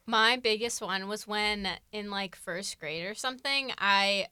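Teen girl, podcast co-host, bright voice, self-mocking, casual, dry sound. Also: medium pitch, unhurried, clean tone, no room sound. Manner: chatty, self-mocking, laughs often. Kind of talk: casual chat.

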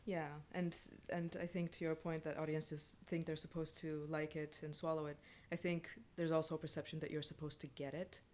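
A woman's voice: low voice, flat delivery, brisk, few pauses, a little mellow, slight background noise. A woman chatting casually as a playwright guest on a panel, explaining things informally in short phrases.

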